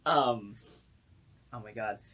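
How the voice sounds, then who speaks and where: A woman with a slightly husky, rough-edged voice, hosting an informal conversation. She talks casually, with a dry, joking delivery. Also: a bass voice, slow, fairly bright tone. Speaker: host. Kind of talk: casual chat.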